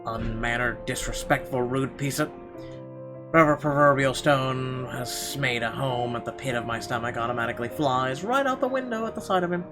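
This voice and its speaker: A man reading a visual novel aloud as dramatic first-person narration, expressive with occasional stumbles. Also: medium pitch, moderate pace. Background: guitar music.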